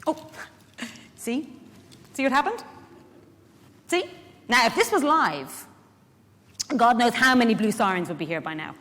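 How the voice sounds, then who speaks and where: A woman's voice: on the high side, very animated, unhurried, long pauses, a bit bright. An Irish woman actor playing a chatty, frank, wry carer in a theatrical monologue, putting pointed questions to her listeners. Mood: exasperated, wry. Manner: conversational, pointed rhetorical questions. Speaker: actor in a dramatic reading. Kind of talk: theatrical monologue addressed to a live audience. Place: large indoor hall at a public event. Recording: echoey hall, live stage reading.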